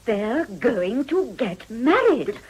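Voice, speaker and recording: Swooping, scandalised English wife. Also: female voice, on the high side, big pitch swings, takes it slowly, a little mellow, slightly rough, exaggerated voice. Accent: British. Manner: melodramatic, breathlessly indignant. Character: scandalised, gushing upper-class English wife. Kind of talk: period radio drama acting.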